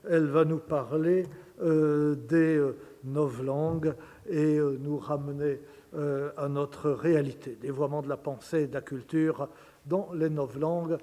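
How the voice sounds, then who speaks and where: An older man chairing a lecture who introduces the speaker slowly, with many hesitations. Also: a little high, steady tone, warm tone. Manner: slow, halting, many fillers. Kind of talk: formal introduction. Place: large lecture hall. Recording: reverberant lecture hall.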